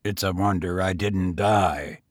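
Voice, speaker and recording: A man doing a grim, weary gentleman tramp. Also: low-pitched, measured pace, dry recording, studio quality, voice pushed down. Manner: grim, subdued, clipped. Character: weary, bitter gentleman tramp, low-voiced.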